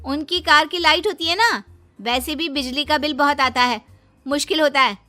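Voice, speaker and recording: A woman's voice: high-pitched, normal speed, sharp tone. A woman voicing a defiant, cheeky young daughter-in-law in a moral story, making flippant excuses to dodge chores. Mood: cheekily evasive. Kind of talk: Hindi moral story acting.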